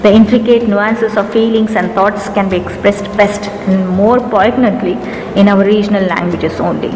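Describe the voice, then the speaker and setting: A woman's voice: mid-range voice, fairly even intonation, a little mellow. Indian woman, mid-range voice, English teacher and poet, earnest recital; long reverb, music bed. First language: Urdu. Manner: measured, earnest, slows down for verse lines. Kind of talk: poetry recitation with commentary.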